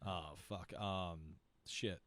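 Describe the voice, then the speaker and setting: A man hosting a podcast, chatting casually, excitable and swearing often. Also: deep voice, very slow, no echo. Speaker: podcast host. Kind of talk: casual chat.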